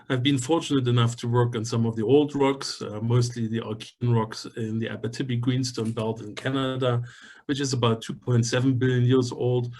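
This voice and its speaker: A man from Germany with a heavy German accent giving a technical guest lecture, explaining things in a measured way with frequent filler sounds. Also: medium pitch, darkish tone. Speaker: guest lecturer, geology professor.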